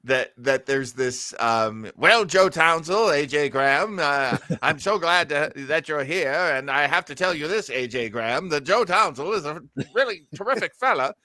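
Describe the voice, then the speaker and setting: A man hosts a casual commentary podcast in a bright, forceful voice. His slightly high pitch moves around a lot as he jokes. The sound is close and dry. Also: normal speed, hardly any pauses, dry recording. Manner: animated, jokey. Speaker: podcast host. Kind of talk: casual commentary on old radio shows.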